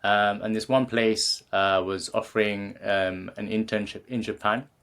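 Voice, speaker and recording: A man's voice: on the low side, fairly even intonation, measured pace, fairly bright tone, clear, resonant tone. A man in his thirties with a British accent, a guest in a casual interview who talks with many fillers and restarts. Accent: British English. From England. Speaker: guest, creativity coach.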